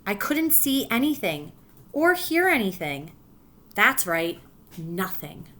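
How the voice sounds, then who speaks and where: A woman teacher reads a picture book aloud to her class and stops often to explain what they are reading. Her voice is bright and clear, and she keeps checking that the children follow along. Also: mid-range voice, very animated. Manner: warm encouraging teacher voice, pausing to explain.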